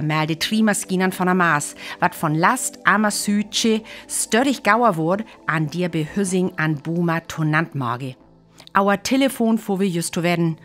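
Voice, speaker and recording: A woman playing a serious radio news reporter in a radio play, with a North Frisian accent and measured delivery. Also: lowish voice. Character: female radio news reporter covering an invasion.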